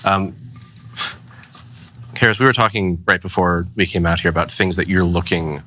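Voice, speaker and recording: A male moderator with a very deep voice that still cuts through brightly. He keeps things moving in a brisk, dry way. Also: steady tone. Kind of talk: moderating a panel.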